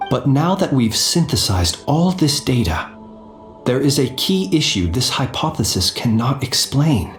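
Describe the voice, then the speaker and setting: Gentleman soldier for a video game: measured, composed, formal, middle register, with reverberant sound. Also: medium pitch, very animated, faint music behind. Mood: pondering. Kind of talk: video game character dialogue.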